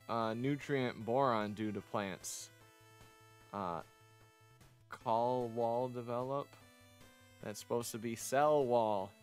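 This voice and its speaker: A man casually reading a visual novel aloud as he streams it, rambling off into chatty asides. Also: medium pitch. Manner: rambling, chatty asides between read lines. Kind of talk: casual game playthrough commentary with read-aloud dialogue.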